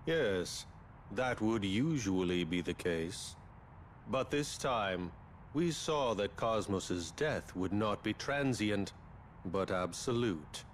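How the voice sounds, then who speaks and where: Slow, pompous, ominous villain overlord. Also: male voice, on the low side, expressive, a little hiss or hum. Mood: solemn. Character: cold, scheming, grandiose male overlord villain. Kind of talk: dramatic video game voice acting. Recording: loud music behind the voice.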